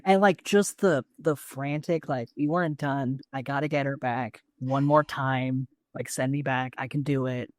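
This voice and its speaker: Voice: mid-range voice, fairly even intonation, measured pace, hardly any pauses, dry recording, clean studio sound. A podcast co-host chatting casually, rambling through a show recap with acted-out asides and swearing.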